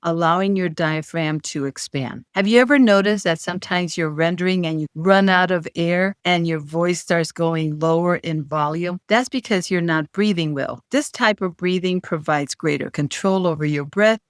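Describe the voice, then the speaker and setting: A woman speaks straight into a close mic, her voice smooth and resonant. The room is dry and dead, with no echo. She gives plain, direct instructions like a teacher. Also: lowish voice, moderate pace, hardly any pauses. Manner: plain, direct advice-giving. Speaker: host and voice-care instructor. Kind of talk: instructional video talk.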